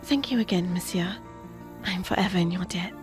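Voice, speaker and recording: A woman's voice: on the low side, fairly quick, music in the background, close to an everyday voice. A woman playing a polite, sweet young bayou lady in an audio drama, genteel and using French courtesies. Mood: grateful, demure.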